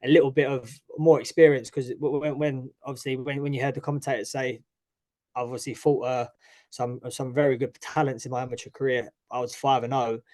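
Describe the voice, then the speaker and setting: A man's voice: medium pitch, level tone, a little fast, darkish tone. A young man with an East London accent, a fighter talking casually as an interview guest, full of restarts and fillers. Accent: East London English. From East London, England. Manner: chatty, frequent restarts and "obviously". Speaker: guest, mixed martial arts fighter.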